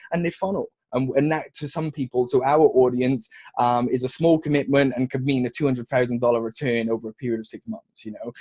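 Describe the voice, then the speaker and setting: A man's voice: mid-range voice, no room sound. A young man from Wales with a mixed, drifting Welsh accent, chatting energetically as a podcast guest. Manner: energetic, rambling with restarts. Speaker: guest, funnel agency founder. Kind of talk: casual podcast chat.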